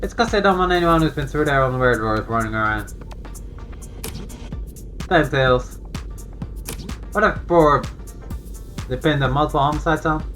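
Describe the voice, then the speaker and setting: An actor voicing a brash, teasing young joker in a video game, animated and full of slangy exclamations. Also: medium pitch, normal speed, pauses often, lightly acted. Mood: breezy. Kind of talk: voiced visual novel dialogue. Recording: game audio with music behind.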